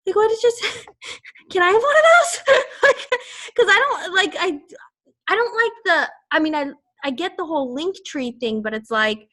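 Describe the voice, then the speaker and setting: Chatty bright woman with a Boston accent. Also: extremely high pitch, lively intonation, normal speed. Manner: chatty and rambling, laughs often. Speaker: fitness team leader. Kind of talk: casual team call.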